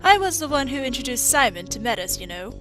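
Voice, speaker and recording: A woman playing a bitter, sharp-tongued robot engineer in a video game fan dub, brusque and mocking. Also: sits high, big pitch swings, fast talker, music in the background. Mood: cool, probing.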